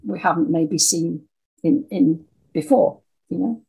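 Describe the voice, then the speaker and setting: Gravelly, husky older woman. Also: on the low side, warm tone, poor audio. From Scotland. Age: 60s or older. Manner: thoughtful, halting with repeated words. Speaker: interview guest, audio dramatist. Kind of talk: reflective interview conversation.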